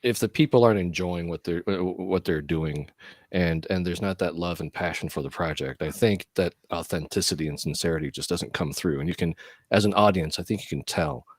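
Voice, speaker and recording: A man co-hosting a casual podcast from home, speaking earnestly and haltingly, often repeating words. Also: deep voice, no echo, clean studio sound. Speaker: podcast co-host. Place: home room on a video call.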